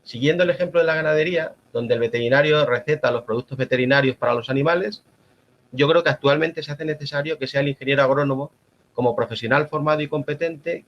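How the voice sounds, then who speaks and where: A man from La Mancha with a Spanish accent giving a formal, measured conference talk as an agronomist. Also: mid-range voice, highs cut off. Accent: Spanish (Spain). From La Mancha, Spain. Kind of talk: prepared conference presentation.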